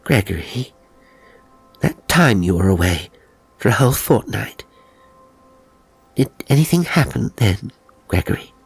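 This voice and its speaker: A man voicing an anxious, pleading young English woman in an audiobook, breathy, earnest and insistent. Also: on the low side, very animated, measured pace, pauses often, no echo, faint music behind, pitched above the performer's own voice, exaggerated voice. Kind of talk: audiobook character acting.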